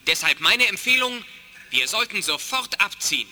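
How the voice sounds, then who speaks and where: Shrill, piercing alien fleet admiral. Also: male voice, sing-song, normal speed, bright, forceful tone, heavily acted. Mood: agitated report. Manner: declamatory. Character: alien reptile fleet admiral, pompous, turning mutinous. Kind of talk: radio play acting.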